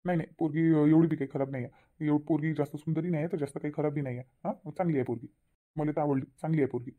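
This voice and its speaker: Cartoon dad, middle-aged villager, voiced by a man, very rapid delivery, tinny phone-like sound. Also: a little high, steady tone, natural voice. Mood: calm. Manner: rapid, plain-spoken, repeats himself. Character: middle-aged village father, easygoing and hasty. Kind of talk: cartoon voice acting.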